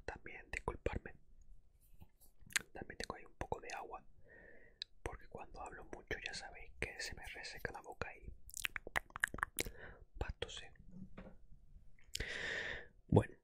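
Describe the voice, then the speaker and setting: Whispering, breathy male ASMR host. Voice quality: breathy whisper with soft low voiced stretches. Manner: hushed, careful not to raise the voice. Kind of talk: ASMR, whispering mixed with soft-spoken talk. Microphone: close microphone.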